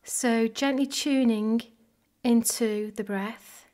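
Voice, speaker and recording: A woman leading a guided body scan meditation in a soft, gentle, measured way. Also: on the high side, fairly even intonation. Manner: gentle, measured, unhurried phrasing with pauses.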